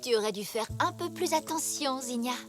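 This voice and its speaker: A woman voicing a firm, no-nonsense zebra mother in a children's cartoon, brisk and reproving. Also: high voice, expressive, music in the background. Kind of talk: French children's cartoon dubbing.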